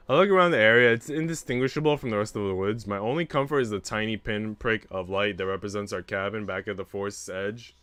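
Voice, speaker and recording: A man's voice: fairly low pitch, bright, forceful tone, clean tone, no echo. A young man hosting a livestream, speaking informally into a close mic. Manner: slangy, swearing, informal. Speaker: livestream host. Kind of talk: casual livestream chat.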